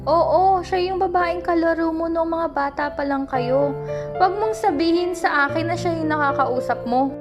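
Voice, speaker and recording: Woman playing a high-voiced, proud Filipino mother. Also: normal speed. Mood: probing. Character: proud, probing, tender mother of a grown student. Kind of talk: amateur Filipino radio drama acting. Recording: music playing behind the voice.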